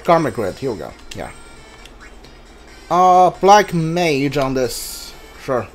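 A gamer talks through a live playthrough in a casual, unhurried voice of medium pitch. The delivery is halting, with thoughts spoken aloud and muttered asides, while game music plays behind. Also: very animated. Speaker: video game player and commentator. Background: video game music.

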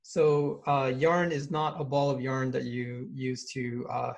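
A man in his thirties hosts an online meetup from a room at home, speaking into a webcam. He is upbeat and loose, filling pauses with "you know" and "um". His voice has a gravelly edge. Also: medium pitch, level tone. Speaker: meetup organizer and host. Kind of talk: casual hosting and presentation. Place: indoor room with brick wall. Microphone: webcam on video call.

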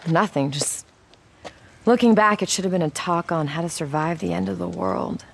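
A woman's voice: lowish voice, moderate pace. An American actress playing a determined, anxious woman scientist in a cinematic survival video game, with earnest, natural delivery. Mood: wry. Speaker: video game character actor.

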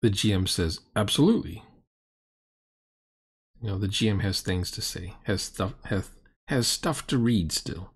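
Middle-aged male commentator, husky low voice, exasperated and sarcastic, critiquing off the cuff. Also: lively intonation, measured pace. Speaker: reaction video host critiquing a role-playing session.

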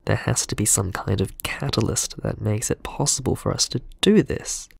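An actor playing a gentle, earnest man, halting and deliberate, confiding shaken in a friend in an audio drama voicemail. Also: lowish voice, gravelly, breathy edge, no room sound, clean studio sound, heavily acted. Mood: earnest, resolved.

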